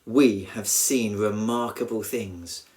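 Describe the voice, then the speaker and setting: A man, a church minister, reading scripture aloud in a solemn, measured and expressive way with deliberate pauses. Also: lowish voice.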